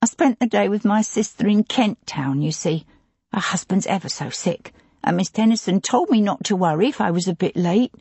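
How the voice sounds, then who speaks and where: A woman voicing a plump, tearful older housekeeper who talks on anxiously and pleadingly, with a breathy voice. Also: mid-range voice, normal speed, darkish tone, no room sound, pitched above the performer's own voice.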